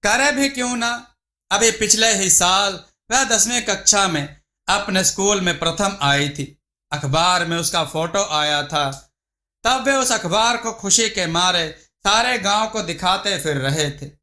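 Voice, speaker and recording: एक पुरुष, जो लिखी हुई नैतिक कहानी को भाव के साथ कथावाचक की तरह सुना रहा है।. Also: male voice, high-pitched, slow, bright, forceful tone. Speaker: storyteller. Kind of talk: scripted Hindi moral story narration.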